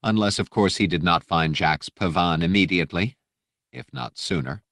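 A man's voice: deep voice, moderate pace, creaky, a bit bright, no room sound, clean studio sound. A man reading fiction as an audiobook narrator, in a dramatic scripted style.

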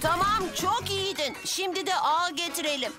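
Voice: extremely high pitch, moderate pace. An actor voicing a brave, eager young rescue motorbike in a children's cartoon, with music behind. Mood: eager, commanding. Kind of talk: dubbed children's cartoon acting.

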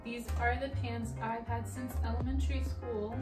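A young woman chatting casually in her home kitchen, telling dramatic stories in an animated way. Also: a little high, normal speed, over music. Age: young adult. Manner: animated, dramatic storyteller who breaks into song. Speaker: vlogger. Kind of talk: casual storytelling chat. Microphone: phone camera propped on counter.

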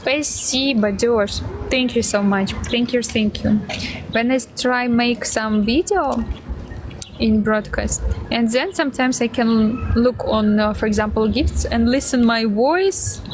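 A woman's voice: a little high, slow pace. A young woman who speaks broken English with a Russian accent and switches to Russian, chatting and thanking viewers on a phone livestream outdoors. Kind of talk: casual livestream chat greeting viewers. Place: outdoors on a windy street. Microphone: phone held close to the face. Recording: phone recording with loud street noise. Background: loud street traffic and wind.

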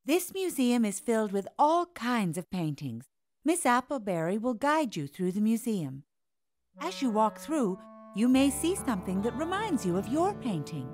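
A woman's voice: on the high side, very animated, normal speed, darkish tone. A woman voicing a kindly, encouraging animal kindergarten teacher in a children's cartoon, with music behind.

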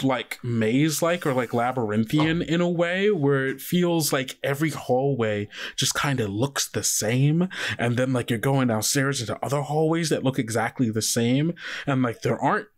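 A man acts as game master for a tabletop game. He narrates casually and conversationally, describing scenes to the players in a medium-pitched voice.

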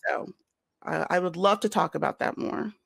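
A woman speaking deliberately and supportively as a panelist in an online discussion. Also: on the low side, creaky, a bit bright, husky, no room sound. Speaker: podcast panelist. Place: room at home, on a video call.

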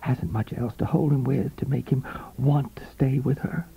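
A woman's voice: rumbling low, fairly even intonation, moderate pace, vocal fry, husky, narrow, tinny audio, full character voice. A man voicing a sweet, knowing young mistress in an audiobook story, full of insinuating, cooing concern. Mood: insinuating sympathy. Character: young sweet-voiced mistress, silvery, knowing, falsely sympathetic.

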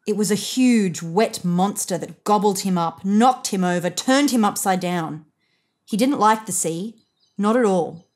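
A woman narrating a children's picture book expressively, with deliberate emphasis on key phrases. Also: medium pitch, darkish tone.

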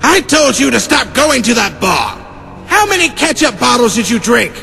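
A woman voicing an excitable, affectionate, boastful skeleton brother in a dramatic, gushing way for an animated comic dub. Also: on the high side, moderate pace, gravelly, soft background music, exaggerated voice. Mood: scolding.

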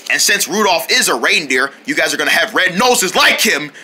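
Woman doing a slangy, animated young male suitor. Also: on the low side, a little fast, slight background noise, heavily acted. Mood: competitive.

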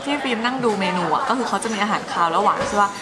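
A woman's voice: medium pitch, very fast, a loud crowd. A young woman chatting casually and cheerfully as she tastes and reviews food in a cafe. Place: indoor cafe. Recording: loud background music.

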